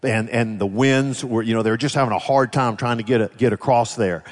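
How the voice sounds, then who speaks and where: A man's voice: medium pitch, brisk. A man preaching a sermon in a conversational manner, putting rhetorical questions to his congregation. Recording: reverberant hall sound.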